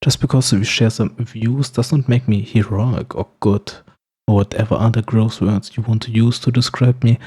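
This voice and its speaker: A man's voice: on the low side, steady tone, moderate pace, mellow, slightly rough. A man playing a teasing, snarky supervillain with firm standards in an ASMR roleplay, speaking straight to the listener with sarcastic, playful banter. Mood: grumpy, defensive.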